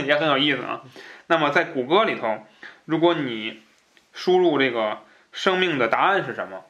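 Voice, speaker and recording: A man's voice: fairly high pitch, animated, moderate pace, bright, forceful tone, muffled recording. A man hosting a book podcast, chatting in a colloquial way and given to chuckling at his own asides. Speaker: podcast host. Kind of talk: casual book talk show chat.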